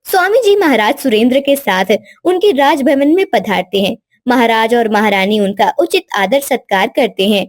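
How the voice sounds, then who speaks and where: A woman narrator with a high-pitched voice tells a scripted story. The sound is dry and close, with no room echo, in the style of storytelling narration. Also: animated, moderate pace, hardly any pauses.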